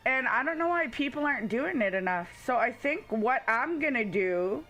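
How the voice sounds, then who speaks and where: A woman's voice: fairly high pitch, lively intonation, normal speed, fairly bright tone, low-quality recording. A woman hosting a casual game livestream, chatting informally and speaking straight to her viewers. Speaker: game streamer, host. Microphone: headset.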